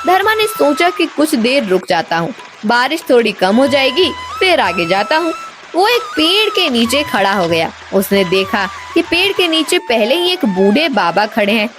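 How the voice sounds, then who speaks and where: Expressive woman storyteller, higher-pitched. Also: normal speed. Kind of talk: scripted children's story narration. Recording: music bed behind the voice.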